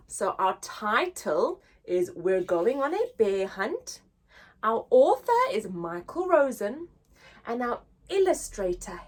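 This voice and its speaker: A woman reading a picture book aloud to young children in an expressive, sing-song chanting way, drawing out words for drama. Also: fairly high pitch, husky.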